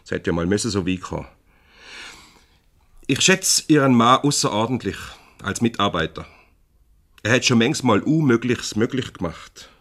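An actor with a Swiss German accent playing a formal, slightly pompous, placating company director in a radio comedy. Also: male voice, mid-range voice, expressive, normal speed, pauses often, fairly bright tone. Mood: disapproving, stiff. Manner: formal, pompous, hesitant when mediating. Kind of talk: 1970s radio comedy acting.

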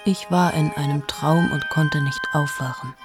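A woman's voice: sits low, fairly even intonation, measured pace, over music. An actress in her late thirties playing a cold, amoral journalist in a radio play monologue, speaking intimately to the listener and often dropping into whispers. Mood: confiding. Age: late 30s. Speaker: radio drama lead.

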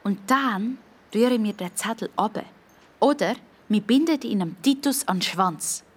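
A woman's voice: medium pitch, animated, a little slow, husky. A woman voicing eager, exclaiming young children, adventurous friends in a children's audio story.

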